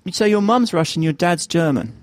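A man's voice: a little high, expressive, normal speed, no echo. A man hosting an interview podcast, asking questions in a rambling, casual way with many restarts. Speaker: podcast host.

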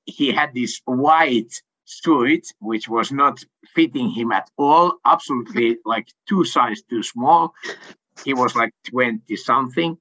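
Middle-aged man from Finland, native Swedish speaker; bright, forceful tone, hesitant delivery full of fillers, dull lo-fi call sound. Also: mid-range voice. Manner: hesitant, many fillers, laughs often. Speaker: sales coach and company owner. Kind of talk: casual interview answers.

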